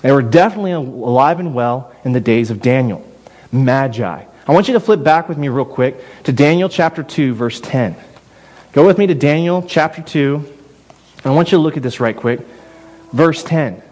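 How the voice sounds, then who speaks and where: A man's voice: mid-range voice, animated, normal speed, darkish tone. A man preaching a sermon in a conversational way, often repeating and restarting words. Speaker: pastor.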